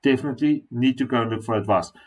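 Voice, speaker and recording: South African male accountant, mid-pitched, chatty explainer who works through the numbers step by step. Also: fast. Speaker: tax accountant. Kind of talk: screen-share explainer walking through tax calculations.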